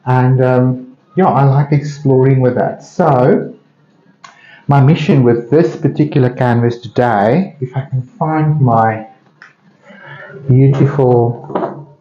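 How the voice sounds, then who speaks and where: A man with a South African Afrikaans accent, a native Afrikaans speaker, musing casually and thinking aloud with pauses as he hosts an open painting studio. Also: medium pitch, dark tone, slight background noise. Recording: roomy, slightly dull sound.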